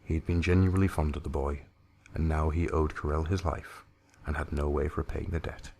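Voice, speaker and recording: A male audiobook narrator with a deep voice and a frayed, creaky edge. He reads dark science-fiction horror in a grave and theatrical manner. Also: little pitch movement, fairly quick.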